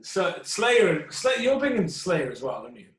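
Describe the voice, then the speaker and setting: A man in his thirties co-hosts with a playful, teasing manner. His voice is a bit high. The room around him is noticeably echoey. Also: sing-song, moderate pace, a little hiss or hum. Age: 30s. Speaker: podcast co-host, tattooer. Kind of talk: casual podcast chat.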